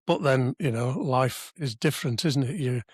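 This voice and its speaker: Man in his seventies, from Wales, husky voice, chatty storytelling, dry asides, close dry studio sound. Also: mid-range voice, moderate pace, no room sound. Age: 70s. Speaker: podcast guest, civic society member. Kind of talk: conversational reminiscence. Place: podcast studio.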